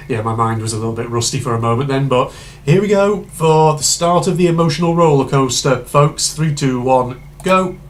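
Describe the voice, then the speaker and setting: Chatty man, slightly hoarse. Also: mid-range voice, moderate pace. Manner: rambling, chatty, many fillers and asides. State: slightly hoarse and congested with a cold. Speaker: reaction video host. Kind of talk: casual reaction commentary.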